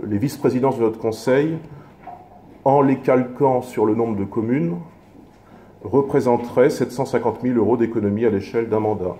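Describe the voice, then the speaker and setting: A man speaking as an opposition councillor in a formal political speech, measured, with halting, self-corrected phrasing. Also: fairly low pitch, warm tone, slight background noise.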